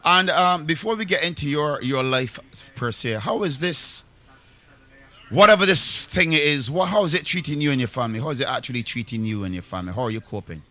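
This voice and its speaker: Lively Barbadian radio host man. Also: on the high side, fairly quick. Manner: lively, rambling, chatty. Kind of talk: live radio interview.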